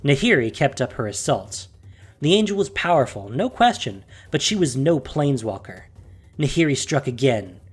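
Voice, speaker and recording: Audio drama narrator: husky, lively intonation, measured dramatic delivery, faint music underneath. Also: medium pitch. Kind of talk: scripted dramatic story narration.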